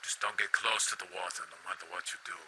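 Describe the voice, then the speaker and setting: A man playing a weary, uneasy comrade with a raspy, breathy voice and stiff formal wording in a game cutscene. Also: deep voice, fairly even intonation, quick pace, sharp tone, a little hiss or hum, poor audio. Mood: resigned. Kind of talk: video game cutscene acting.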